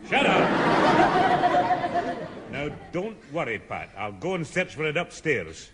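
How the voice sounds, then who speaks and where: A man playing a grumpy, no-nonsense old northern English grandfather in a 1960s radio sitcom, gruff and scolding. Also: fairly high pitch, sing-song, slow, a loud crowd. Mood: bossy. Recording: echoey hall, faint noise, old radio.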